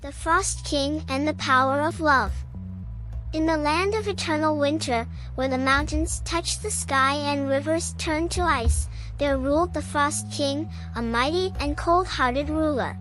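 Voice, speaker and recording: Woman storyteller, high voice, unhurried pace, scripted bedtime tale, music behind. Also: low-quality recording.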